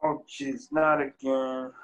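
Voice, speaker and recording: A man's voice: mid-range voice, sing-song, slow pace, gravelly, exaggerated voice. A man reading the part of an anxious, easily overwhelmed man in a table read over a video call, in a whiny, flustered way.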